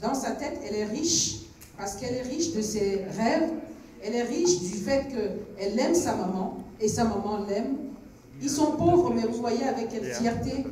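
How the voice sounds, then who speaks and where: This is a Cameroonian woman with a fairly high, mellow voice, leading a film club discussion. She engages her young listeners like a teacher, putting questions to them. The sound is very echoey, as if caught away from the microphone in a big hall. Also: far from the mic. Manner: engaging, asks questions, switches between French and English. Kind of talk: addressing a young audience, didactic. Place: screening hall with audience.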